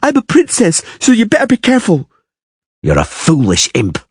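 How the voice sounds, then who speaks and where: A man voicing a haughty, spoiled little princess girl who talks in a shrill, imperious, petulant way. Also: wildly swooping pitch, normal speed, husky, no room sound, studio quality, heavily acted. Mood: indignant.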